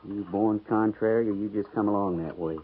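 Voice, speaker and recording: An actor playing a folksy, wry, grumbling frontier cavalry trooper in a 1950s radio western. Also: male voice, fairly low pitch, fairly even intonation, fairly quick, natural voice. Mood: wry, exasperated. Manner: folksy drawling grammar, wry. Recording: muffled, noisy 1950s radio recording.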